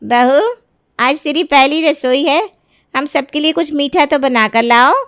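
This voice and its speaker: A woman's voice: sits high, unhurried, fairly bright tone. A woman playing an indignant, haughty middle-aged mother-in-law in a cartoon family drama. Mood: firm, surprised. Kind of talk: Hindi moral-story cartoon dubbing.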